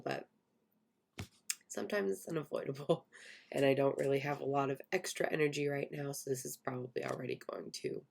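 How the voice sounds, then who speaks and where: A woman in her late twenties hosting a casual podcast, croaky and hoarse while getting over an illness, with a voice that cracks now and then. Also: on the low side. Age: 20s. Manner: chatty, self-deprecating, laughs often. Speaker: podcast host. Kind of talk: casual chat.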